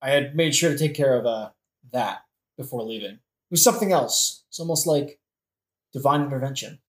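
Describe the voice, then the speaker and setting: A woman's voice: extremely low pitch, fairly quick. A woman playing a sarcastic, melodramatic young prince in a modern comedic audio play, with theatrical delivery. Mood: smug. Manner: theatrical, sardonic. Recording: roomy echo.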